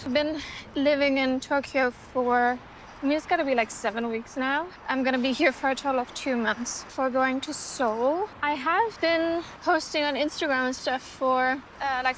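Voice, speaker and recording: A woman's voice: high-pitched, a bit bright, slight background noise, low-quality recording. A German woman in her twenties, chatting casually and rambling with many fillers while filming a travel vlog on her phone. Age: 20s. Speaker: vlogger. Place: city streets and small eateries. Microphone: phone camera.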